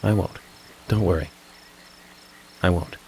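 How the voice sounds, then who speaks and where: An American man playing an eccentric, introspective federal agent in a video game, with a measured, earnest, confiding manner. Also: low-pitched, steady tone, vocal fry, very noisy, exaggerated voice. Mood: calm, reassuring. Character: eccentric, introspective male FBI agent with an imaginary friend. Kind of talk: video game cutscene dialogue.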